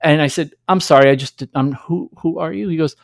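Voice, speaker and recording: A man's voice: fairly high pitch, brisk, gravelly, dry recording. A man in his thirties with a New York accent, hosting a casual podcast interview from a small home studio on a large studio mic, chatty and enthusiastic. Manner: enthusiastic, chatty, laughs often. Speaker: podcast host. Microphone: large studio mic with headphones.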